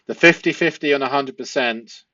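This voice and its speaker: A man's voice: medium pitch, normal speed, pushed and bright. Man analysing, dull lo-fi recording. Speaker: host analysing a police interview. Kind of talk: analytical commentary to viewers.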